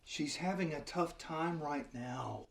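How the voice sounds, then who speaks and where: A man's voice: fairly high pitch, slow, creaky. A man playing a pedantic, quote-loving ghost in a comedy web series, avuncular and theatrical. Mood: cautioning.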